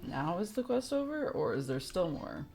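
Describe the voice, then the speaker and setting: A woman's voice: lowish voice, very animated, normal speed, vocal fry, a little mellow. A woman live-streaming a video game with casual, blunt, reactive commentary in informal words. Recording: game music behind the voice.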